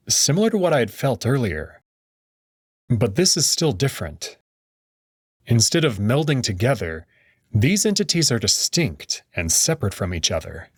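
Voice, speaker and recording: Expressive man narrating a story, dry sound. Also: mid-range voice, breathy edge, dry recording. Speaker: narrator reading a visual novel aloud. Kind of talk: dramatic scripted narration.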